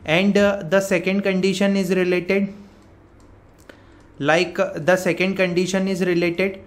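A man with an Indian accent giving an informal explanatory update as a career coach, repeating his points step by step. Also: high-pitched. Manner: repetitive, step-by-step explaining with frequent check words. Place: voice-over on a screen recording.

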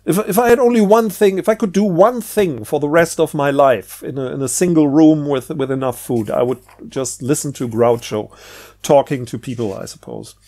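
A man's voice: on the high side, normal speed, a little mellow. A middle-aged man talking casually and unscripted about books, hesitant and rambling, often repeating words as he thinks aloud. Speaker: book reviewer.

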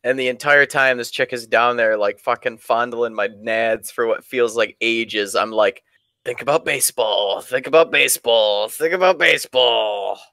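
A man's voice: medium pitch, normal speed, bright, forceful tone, dry recording, clean studio sound. A man casually telling stories to friends and a live chat as a streamer, crude and swearing heavily.

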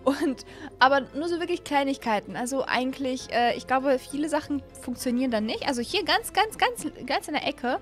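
High-pitched, animated young woman gamer. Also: a little fast. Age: young adult. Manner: animated, excitable, exclaiming. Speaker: gaming video host. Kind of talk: casual let's-play commentary. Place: small indoor streaming room. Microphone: close desk microphone on an arm. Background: faint music.

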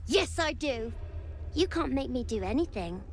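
A woman's voice: sits high, lively intonation, normal speed, slight background noise. A woman voicing a defiant, spoiled young boy spirit in a game, sulky, childish and taunting. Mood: taunting defiance. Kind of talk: dramatic game cutscene acting.

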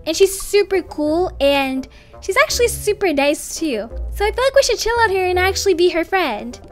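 A woman voicing a cocky but earnest popular schoolboy, slangy and insistent, in an animated roleplay story. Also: very high-pitched, normal speed, soft background music. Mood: earnest, defensive.

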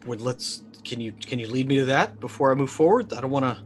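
A man's voice: mid-range voice, lively intonation, fast, a little hiss or hum, lightly acted. A man voicing an uneasy, polite space colonist in a tabletop game, hesitant and wry. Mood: nervous. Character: uneasy, polite male colonist waking in a wreck.

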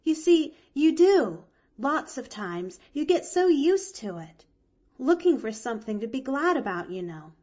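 A cheerful little orphan girl from a storybook, acted by a woman, chattering with eager emphasis. Her voice sits high and swings animatedly up and down, earnest and keen in every phrase. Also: very animated, unhurried, dark tone, heavily acted. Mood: earnest, bright. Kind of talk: expressive audiobook character reading.

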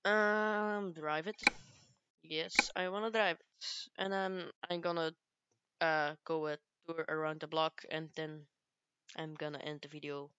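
Soft-spoken girl gaming. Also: low-pitched, little pitch movement, dull audio, a little hiss or hum. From Belgium. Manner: halting, searches for English words. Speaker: gaming youtuber. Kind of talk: casual gameplay commentary.

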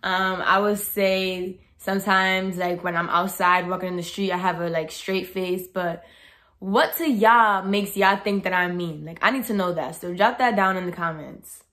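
A woman's voice: lowish voice, moderate pace. A young woman in her twenties talking casually and bluntly to camera as a vlogger, in a bedroom. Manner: blunt, outspoken, animated with hands. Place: bedroom, indoors.

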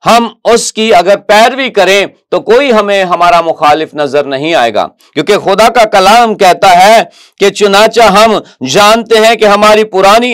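A middle-aged man with a Pakistani Urdu accent delivers an earnest sermon, often stopping to ask his listeners questions. His voice sits fairly high for a man. He speaks into a lapel mic in a television studio. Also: a bit bright, clear, resonant tone. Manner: earnest preaching with rhetorical questions, repeated "my dear ones". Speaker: pastor. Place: TV news studio, indoors.

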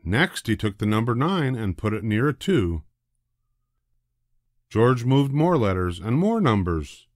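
An older man narrating a children's storybook in a deliberate, expressive way. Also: medium pitch, dry recording, studio quality. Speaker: storybook reader.

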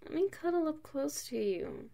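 A woman playing an affectionate, playful wife in an ASMR roleplay, speaking in a soft, intimate manner. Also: sits high, sing-song, normal speed, gravelly, full character voice. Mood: playful, cuddly. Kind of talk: ASMR roleplay, one side of a dialogue.

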